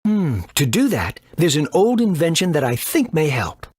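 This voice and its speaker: A man's voice: fairly high pitch, very animated, moderate pace, a little mellow, husky, dry recording, studio quality. An actor playing an eccentric male top researcher in a video game, with a theatrical, quirky manner. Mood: quirky, helpful.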